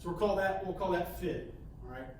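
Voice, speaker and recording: A man leading a sales training session, halting and repeating words, often ending points with "okay?". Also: sits high. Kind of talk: coaching a training session with role-play. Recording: echoey room, mic far off, faint hiss.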